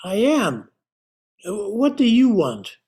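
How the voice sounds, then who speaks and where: An honest, kindly old man of science in his sixties, played by a man in a radio drama. He talks slowly in a soft-toned, mellow voice, polite and a little hesitant. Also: high-pitched, huge pitch swings, full character voice. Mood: polite, reassuring. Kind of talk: amateur radio drama acting.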